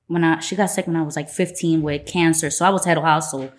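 A woman's voice: deep voice, fairly even intonation, quick pace. A woman in her thirties from Puerto Rico, a guest on a podcast, talking in a frank, chatty way. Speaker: guest, business owner. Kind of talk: casual interview chat.

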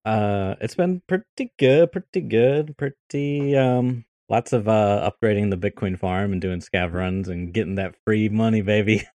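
A man with a very low voice talks in a relaxed, chatty way as co-host of a gaming podcast. The sound is dry, with no room in it. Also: unhurried, few pauses, no echo. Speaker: podcast co-host, video editor. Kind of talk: casual chat.

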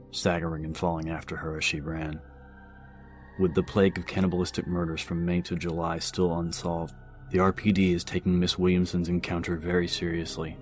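A man narrating an audio story, reading out newspaper reports in a measured, scripted news style. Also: deep voice, level tone. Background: music.

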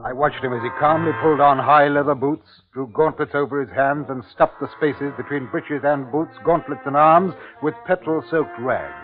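An actor playing an anxious, earnest commissioner who narrates a 1950s radio drama in a grave, measured, theatrical way. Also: male voice, on the high side, fairly even intonation, natural voice. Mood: grave, ominous. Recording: tinny 1950s radio recording with music.

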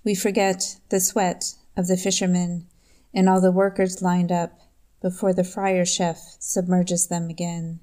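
A woman from New York State introducing herself and reading her own poem in a slow, measured, deliberate way. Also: fairly low pitch, level tone, dark tone. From New York State, USA. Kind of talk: poetry reading.

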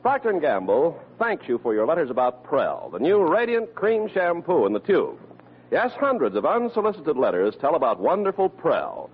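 Male vintage radio announcer, scripted pitch style. Fairly high, animated, narrow old broadcast sound. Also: moderate pace, husky.

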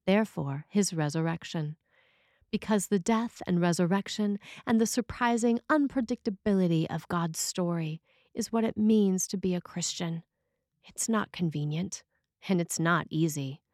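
A woman's voice: fairly low pitch, a little mellow, no room sound, studio quality. A woman reading a written sermon as a preacher, in a scripted style.

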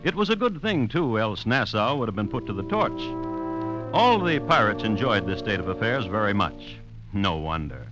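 A man narrating a scripted 1950s radio story in a wry manner, with dramatic pauses. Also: fairly low pitch, sing-song, music in the background, low-quality recording. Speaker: narrator and host.

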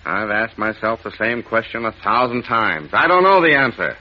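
An actor playing a gruff, stubborn, exasperated police inspector in 1940s radio style, blunt and hectoring. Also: male voice, on the low side, lively intonation, normal speed. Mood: blunt, sarcastic. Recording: muffled old radio drama recording.

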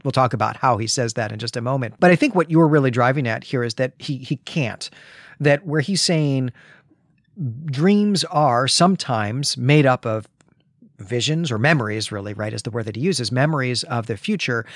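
A male podcast host with a mid-pitched voice talks through a story in a considered, measured manner. He reworks his phrasing as he explains. The sound is dry, with hardly any room to it. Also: no echo. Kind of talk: literary discussion with retelling and reading aloud.

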